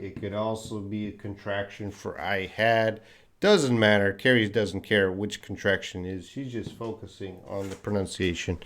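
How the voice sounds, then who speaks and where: A middle-aged man with a light Canadian accent teaches English on a live stream. He speaks slowly and patiently in a lowish voice, repeating words clearly so learners can follow along. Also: a bit bright.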